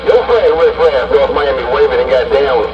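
A man's voice: mid-range voice, normal speed, over loud music. A man with folksy, slangy patter, bantering casually over a CB radio transmission. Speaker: CB radio operator. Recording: dull CB radio, distant speaker.

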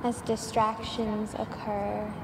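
A woman guiding a sleep meditation with affirmations, speaking in a soothing, measured way with long pauses, in a noisy, reverberant recording. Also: medium pitch, little pitch movement. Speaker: meditation guide.

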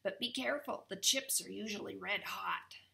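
A woman's voice: medium pitch, moderate pace, gravelly, pushed and bright. A woman voicing a bossy, sarcastic male raven in a storybook. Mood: conspiratorial, excited.

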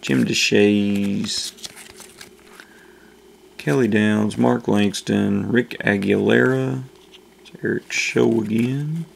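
A man hosting a casual video, slowly reading off names as he opens packs at a table indoors. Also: steady tone, gravelly. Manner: slow, low-key listing of names. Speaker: channel host opening card packs. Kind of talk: casual card-opening commentary.

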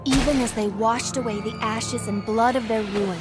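A young woman playing a wry, determined aspiring crime fighter in an animated comic. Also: fairly high pitch, normal speed, over loud music, natural voice. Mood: wry, resolute. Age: young adult. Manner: wry, self-assured. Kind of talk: animated motion comic narration and dialogue.

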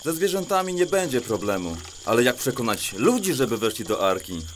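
A man's voice: medium pitch, very animated, a little fast, natural voice. A man playing a hungry, worried adult son in a children's audio drama.

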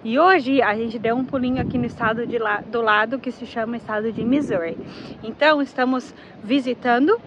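A woman's voice: fairly high pitch, brisk. A woman travel vlogger chatting casually and enthusiastically, often correcting herself as she talks. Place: tourist aquarium and museum. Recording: slightly dull lo-fi audio with faint background noise.

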